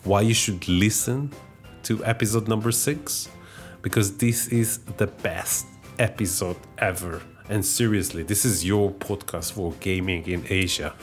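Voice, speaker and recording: Soft-spoken podcast host with music behind. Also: male voice, on the low side, steady tone, a little slow. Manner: hesitant, polite, many fillers. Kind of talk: podcast interview.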